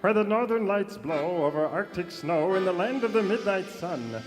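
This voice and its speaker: A man's voice: sits high, lively intonation, moderate pace. A man playing a pompous, dim, heroic Canadian Mountie in comic radio theater, declaiming grandly and saying "eh". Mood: grandly declamatory. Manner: tags sentences with "eh", declaims verse grandly. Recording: live stage hall reverb, music behind.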